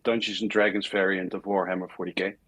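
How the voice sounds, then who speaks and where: A man with a fairly low, husky voice speaks as a podcast guest. His delivery is casual and enthusiastic. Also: little pitch movement, quick pace, fairly bright tone.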